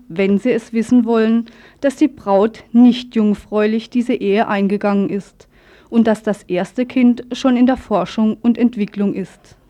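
Mellow-voiced woman presenting radio news. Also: a little high. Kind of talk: scripted radio news and satirical commentary.

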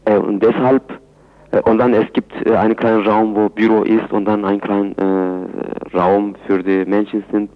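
Guy being interviewed, low fairly even voice, halting speech, dull radio sound. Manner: halting, non-native phrasing. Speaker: interviewee, chairman of a Kurdish community centre.

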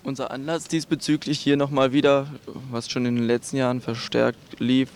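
A man in his twenties speaks as a student representative in a medium-pitched voice. He explains things formally, in long, carefully built sentences. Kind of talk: formal explanatory interview statement.

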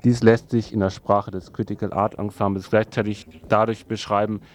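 A man presenting a semi-scripted radio introduction in an even, flat delivery. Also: lowish voice, normal speed, crowd noise.

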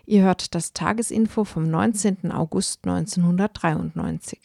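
A woman's voice: on the low side, moderate pace, gravelly, mellow, breathy edge, no echo, clean studio sound. A woman reading a scripted announcement for a radio news programme.

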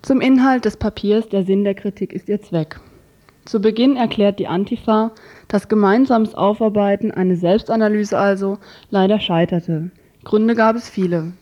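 A woman radio presenter reads a scripted report, now and then tripping on a word. Her pitch is average, and the recording is dull and lo-fi. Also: fairly even intonation, normal speed, warm tone. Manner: reading from script with occasional stumbles. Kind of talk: scripted news report read aloud.

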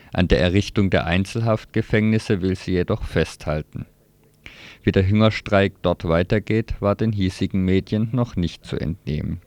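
A man's voice: sits low, darkish tone, dry recording. A man reading scripted reports and news as a radio presenter.

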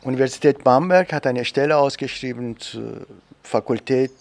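A man recounting a personal experience in an interview, halting and repeating phrases as he goes. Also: medium pitch, vocal fry. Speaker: education expert and trainer.

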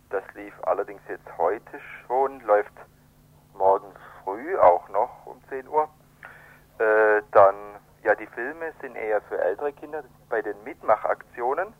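A man being interviewed, explaining things in a halting way and searching for words, over a telephone. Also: fairly low pitch, no room sound. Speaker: event organiser, interviewed guest. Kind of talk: spontaneous radio interview by phone. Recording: narrow tinny telephone line.

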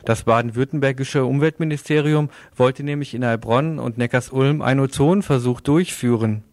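A man's voice: medium pitch, moderate pace, a little mellow, dry recording. Sardonic male radio commentator, dry close sound. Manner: sardonic, with rhetorical questions. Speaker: radio news presenter. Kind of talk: scripted radio news commentary.

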